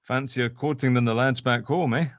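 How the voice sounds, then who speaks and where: A man playing a protective middle-aged dad in an audio drama table read, chatty, hesitant and gently awkward. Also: medium pitch, fairly even intonation, normal speed, dry recording, studio quality, natural voice. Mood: rambling, wistful.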